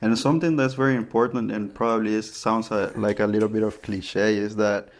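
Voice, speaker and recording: A man's voice: on the low side, steady tone, fairly quick. A man from Honduras with a Honduran Spanish accent, whose first language is Spanish, co-hosting a podcast in casual chat, often stopping to restart phrases and search for words. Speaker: podcast co-host.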